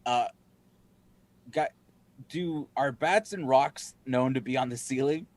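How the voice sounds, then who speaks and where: A man's voice: mid-range voice, slow pace, dry recording. A man playing a tabletop role-playing game, talking in character with a hesitant, stammering manner. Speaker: player.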